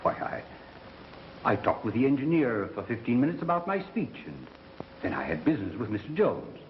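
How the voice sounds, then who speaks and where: An actor playing an evasive, indignant doctor under suspicion in a 1930s film, hesitant and defensive. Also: male voice, medium pitch, big pitch swings, fairly quick, close to an everyday voice. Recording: echoey, noisy, muffled 1930s film soundtrack.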